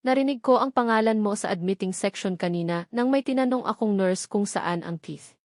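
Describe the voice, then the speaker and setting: A woman's voice: mid-range voice, fairly quick, darkish tone, no room sound, close to an everyday voice. Romantic male lead read by a woman, Filipino accent, earnest and hesitant, audiobook drama, dry sound. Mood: hesitant, serious. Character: serious, hesitant young man, gently concerned romantic lead. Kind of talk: audiobook character dialogue.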